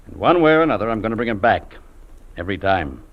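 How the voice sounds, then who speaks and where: An actor playing a stern, unyielding Mountie sergeant, speaking curtly in 1950s radio drama style. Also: male voice, fairly low pitch, very animated, fast talker. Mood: grim resolve. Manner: curt, stern, blunt. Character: hard, unyielding Mountie sergeant, adult man. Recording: dull, noisy old radio recording.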